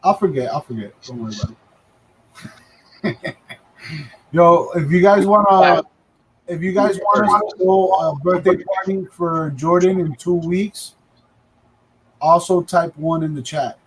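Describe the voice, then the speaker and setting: A man in his thirties co-hosting a casual livestream, joking and chattering fast with his friends and the chat. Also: high-pitched, husky, low-quality recording. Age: 30s. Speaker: livestream co-host.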